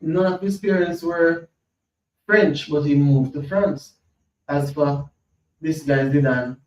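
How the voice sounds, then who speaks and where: Host, unhurried, rambling football chat, mic at a distance in an echoey room, slightly dull audio with faint noise. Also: male voice, a little high, darkish tone. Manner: slow, rambling, thinks aloud, repeats himself. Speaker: podcast host. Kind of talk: casual football chat, reading from screen.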